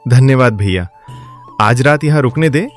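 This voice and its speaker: A man's voice: mid-range voice, expressive, moderate pace, soft background music, close to an everyday voice. A man voicing an older merchant relative who asks for shelter in an apologetic, ingratiating way, for a cartoon story.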